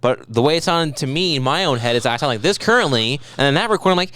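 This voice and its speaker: A man co-hosting a casual podcast, rambling and profane, telling animated stories. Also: on the high side, fast, clear, resonant tone. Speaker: podcast co-host. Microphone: podcast microphone.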